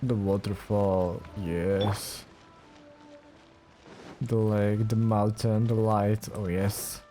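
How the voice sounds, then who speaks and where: A man giving casual let's play commentary as a gaming streamer, with relaxed, wry asides. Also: on the low side, drawn-out words. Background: game music.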